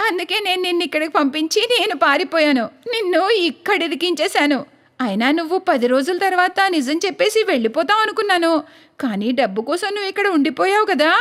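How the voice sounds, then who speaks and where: A woman's voice: very high-pitched, fairly bright tone. A woman voicing a scheming, greedy young runaway wife in a cartoon story. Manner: brash, demanding.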